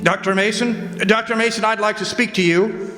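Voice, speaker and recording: A man's voice: sits high, fairly even intonation, normal speed, loud music behind the voice, natural voice. A man playing a tactful, uneasy medical supervisor in a radio drama, speaking hesitantly and officially. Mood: awkward, tactful. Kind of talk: old-time radio drama acting. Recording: echoey hall stage recording.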